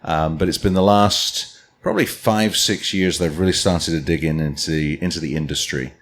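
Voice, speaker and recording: A man from Scotland, raised near London, talking casually as a guest on a podcast, with a relaxed, rambling way of speaking. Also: very deep voice, a little fast. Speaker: distillery founder, guest.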